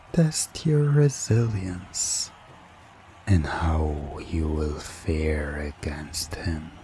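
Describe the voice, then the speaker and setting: Very deep, slow hypnotic male narrator. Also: dark tone, husky. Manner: deliberate, dramatic pauses, dropping at times toward a whisper. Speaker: hypnosis audio narrator and storyteller.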